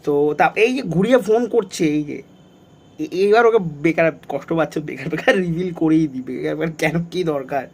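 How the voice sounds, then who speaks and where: A man's voice: on the high side, fairly quick, a little mellow, breathy edge. A young man, talking casually and insistently, often repeating himself, as in a vlog or phone call. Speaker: prank video creator.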